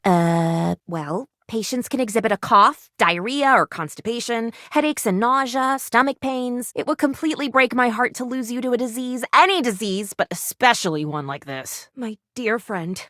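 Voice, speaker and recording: An actress voicing an earnest, anxious, bookish would-be doctor in a video game, with quaint, wordy, theatrical delivery. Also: female voice, fairly high pitch, normal speed, few pauses, fairly bright tone, no room sound, clean studio sound, natural voice. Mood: worried, grave.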